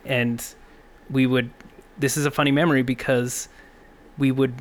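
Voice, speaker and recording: A man's voice: medium pitch, level tone, normal speed. A man in his thirties, guest and producer on a podcast, chatting casually and dryly with family in a home kitchen. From Canada. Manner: easygoing, dry understatement. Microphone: brought-in podcast mic.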